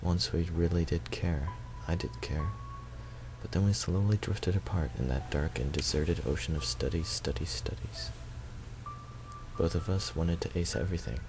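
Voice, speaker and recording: Very deep-voiced man reading a story. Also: normal speed, music in the background, poor audio. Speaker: video maker reading a visual novel. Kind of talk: reading first-person story narration aloud.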